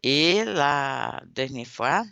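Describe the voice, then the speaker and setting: Male teacher, unhurried, bright and dry, patient drilling voice. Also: mid-range voice, expressive, gravelly, clear, resonant tone, no echo. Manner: slow, patient, repeats words to drill students. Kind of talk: classroom instruction.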